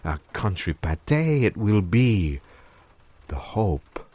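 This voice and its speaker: An actor playing a grandiose, romantic French restaurateur with a pompous, halting delivery and French accent in an improvised comedy sketch. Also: male voice, on the low side, sing-song, warm tone, husky, full character voice. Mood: exasperated, lofty. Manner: theatrical, pompous, halting and dramatic. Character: grandiose, romantic French male restaurateur scheming with business partner.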